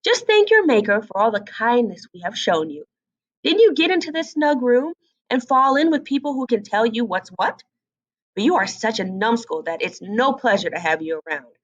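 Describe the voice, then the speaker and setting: A woman's voice: a little high, sing-song, moderate pace, lo-fi sound. A woman voicing a bossy, conceited hen in a storybook, scolding and lecturing with haughty emphasis. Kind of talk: storybook read-aloud character voice.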